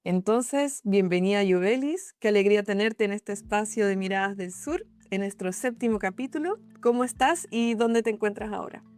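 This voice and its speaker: A young woman hosts a podcast and welcomes listeners and her guest warmly before asking her questions. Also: mid-range voice, moderate pace, few pauses, no echo. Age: young adult. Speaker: podcast host, interviewer.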